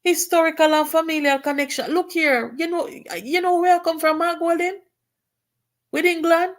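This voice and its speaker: A woman's voice: very high voice, steady tone, normal speed. A woman from Jamaica with a Jamaican accent, reading a political letter aloud and breaking into Patois to comment on it. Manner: switches to Patois, exclamatory, rising indignation.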